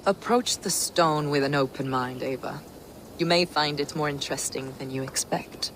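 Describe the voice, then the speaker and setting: A woman playing a devout, fervent medieval scholar in a video game, speaking in a formal, reverent, deliberate way. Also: sits low, heavy background noise, close to an everyday voice. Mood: reverent, intrigued. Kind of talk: dramatic video game acting. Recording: music behind the voice.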